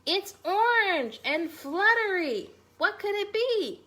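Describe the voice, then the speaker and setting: Woman voicing a curious, high-pitched little boy mouse. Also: sing-song, sharp tone, exaggerated voice. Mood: curious, wondering. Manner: drawn-out, wondering questions.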